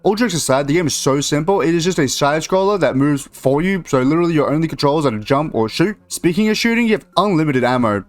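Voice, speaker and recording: A man giving casual, sarcastic video game review commentary, swearing freely as he goes. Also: fairly high pitch. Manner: sarcastic, heavily profane.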